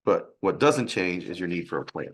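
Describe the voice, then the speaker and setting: A man with a raspy, hoarse voice from a sinus infection, presenting a financial webinar in a halting way. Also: deep voice, expressive. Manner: halting, with restarts and fillers.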